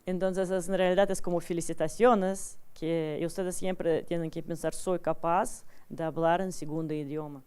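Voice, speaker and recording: A woman's voice: fairly low pitch, fairly even intonation, moderate pace, hardly any pauses, dark tone, smooth. A woman with a strong foreign accent, not a native Spanish speaker, giving a lecture in simple, broken phrasing. She often checks in with the audience and restarts her words. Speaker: English teacher giving a talk.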